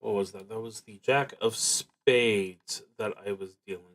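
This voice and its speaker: A man hosting a solo roleplaying stream, musing to himself in a halting, deliberate way as he plays. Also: fairly low pitch, fairly even intonation. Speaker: host of a solo roleplaying livestream. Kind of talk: thinking aloud while playing a solo tabletop game.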